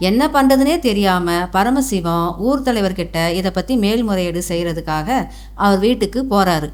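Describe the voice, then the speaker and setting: A woman narrator reading a scripted animated moral story. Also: mid-range voice, normal speed, faint music behind.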